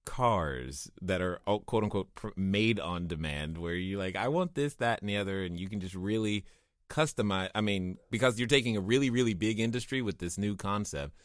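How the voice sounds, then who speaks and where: A man hosting a podcast, chatting casually and excitedly with his guest. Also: on the low side, moderate pace, no room sound, clean studio sound. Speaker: podcast host.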